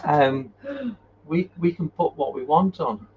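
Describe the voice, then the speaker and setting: A man hosting a casual paranormal live chat and reading out viewer comments, talking in an informal, halting way. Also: a little high, darkish tone. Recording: muffled, noisy live-stream audio.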